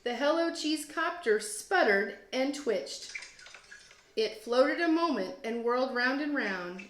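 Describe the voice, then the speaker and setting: A woman teacher reading a children's storybook aloud with expressive, deliberate storytelling phrasing. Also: high-pitched, a little hiss or hum. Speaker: teacher, guest read-aloud host. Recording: roomy echo, music behind. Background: storybook app music.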